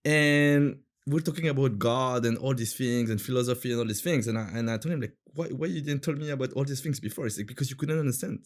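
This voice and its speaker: A man's voice: medium pitch, quick pace, few pauses. A man in his thirties from France with a French accent, chatting casually as an interview guest, searching for words with many fillers and restarts.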